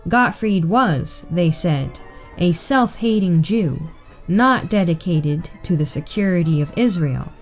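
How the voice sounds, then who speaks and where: A woman reading a written political article aloud in a measured, deliberate way. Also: lowish voice. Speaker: reader.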